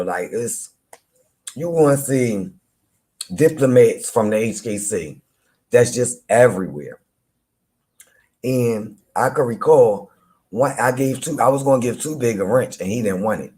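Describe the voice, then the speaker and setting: A man with a deep voice hosts a live chat. He talks casually and teases people in loose, playful banter. He laughs often as he goes, and his pitch sits in an ordinary middle range. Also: expressive, measured pace, long pauses, a little mellow. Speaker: live audio-room host. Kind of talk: casual trash-talking chat to listeners.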